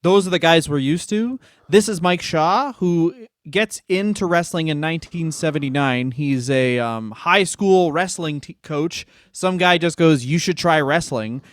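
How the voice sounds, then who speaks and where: A man co-hosts a podcast with eager, profane chatter and easy laughter. His voice is smooth and clear and sits slightly high. The recording is close and dry. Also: measured pace, few pauses, no echo. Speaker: podcast co-host. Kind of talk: casual comedic chat.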